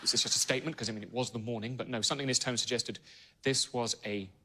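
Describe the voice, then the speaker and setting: A man's voice: medium pitch, steady tone, racing, noisy background, lightly acted. An actor playing a bewildered male commuter, recounting a puzzling encounter in a rambling way, heard on a played clip. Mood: baffled.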